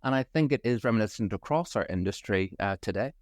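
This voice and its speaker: A middle-aged man from Northern Ireland with a softened Belfast accent, speaking as a guest in a podcast interview, enthusiastic and fluent with a few fillers. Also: lowish voice, expressive, fast talker, no room sound, studio quality. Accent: Northern Irish, softened by years abroad. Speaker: travel company managing director, guest.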